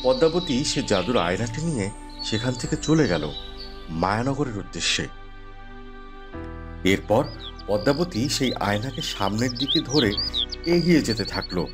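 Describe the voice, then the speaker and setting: A man with a mid-pitched voice narrates a scripted fairy tale cartoon. Music plays clearly behind his storytelling. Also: expressive, moderate pace, pauses often.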